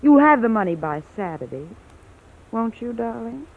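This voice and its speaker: An actress playing a cool, mocking, greedy blackmailer in a vintage radio drama, insinuating and controlling. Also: female voice, a little high, big pitch swings, normal speed, vocal fry, a little hiss or hum. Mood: smug, demanding. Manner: taunting, insinuating. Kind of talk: 1940s-50s radio drama acting. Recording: tinny old radio recording.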